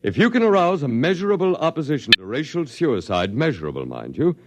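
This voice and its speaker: A calm elderly alien archivist. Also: male voice, a little high, highs cut off, very noisy. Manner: measured, coolly reasonable. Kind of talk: 1950s radio drama acting.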